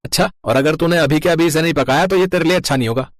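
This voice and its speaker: A man's voice: a little high, racing, dry recording, studio quality, natural voice. A man voicing a greedy, bossy roadside eatery owner with a blunt manner in a moral story cartoon. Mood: threatening. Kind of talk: animated moral story dialogue.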